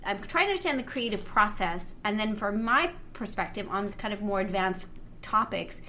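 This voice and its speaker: A woman's voice: mid-range voice, animated, moderate pace, sharp tone. A woman hosting a casual interview podcast in an informal, rambling way. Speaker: podcast host. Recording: clear background noise.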